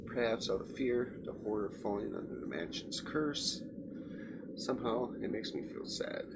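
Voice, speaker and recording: Loud background noise, gravelly male voice, let's play narration, stumbling read, casual asides. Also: fairly low pitch, normal speed. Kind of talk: reading game narration aloud with casual asides.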